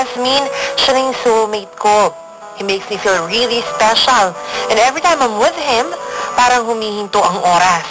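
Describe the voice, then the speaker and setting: Woman playing lovelorn young letter writer, mid-pitched, Filipino accent, wistful, confiding, hesitant pauses, radio drama narration, music behind. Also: close to an everyday voice, rough recording.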